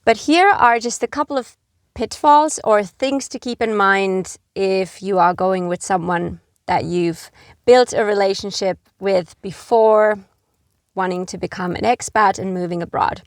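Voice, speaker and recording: A middle-aged woman from Finland giving earnest, gentle advice to camera, with frequent fillers like "you know". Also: medium pitch, measured pace, no echo, clean studio sound. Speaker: expat vlogger.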